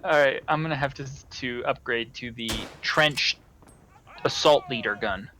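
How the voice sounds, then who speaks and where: A man's voice: medium pitch, unhurried, pushed and bright, a little hiss or hum. A young American man chatting casually while playing a game with a friend. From United States. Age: young adult. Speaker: co-player in a gaming video. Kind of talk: casual chat while gaming.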